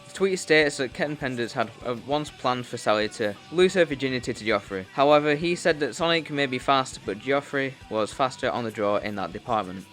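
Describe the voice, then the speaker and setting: A man's voice: medium pitch, fairly bright tone. A man hosting a YouTube commentary video, talking in a blunt, informal, sweary way as he reads a loose script. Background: music.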